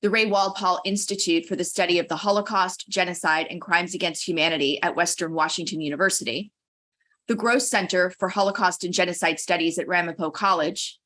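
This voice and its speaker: A woman hosting an online talk, reading a measured, formal introduction from a script. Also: lowish voice, fairly bright tone. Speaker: center director introducing a speaker. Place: online webinar.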